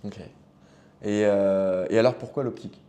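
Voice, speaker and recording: Mellow-voiced man hosting a casual interview. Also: on the low side, fairly even intonation, moderate pace. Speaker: podcast host.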